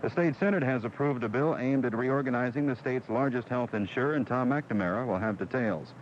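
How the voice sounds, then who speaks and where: A man's voice: mid-range voice, fairly quick. An American man anchoring local radio news, reading headlines and weather on an old AM broadcast. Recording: dull AM radio, faint noise.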